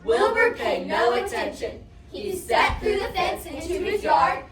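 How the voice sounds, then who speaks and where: A young female narrator performing a storybook scene on stage, expressive and emphatic in her delivery. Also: very high voice, unhurried, a crowd in the background. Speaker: student reader's theatre narrator. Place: competition performance room indoors. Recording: echoey room, distant mic, audience noise.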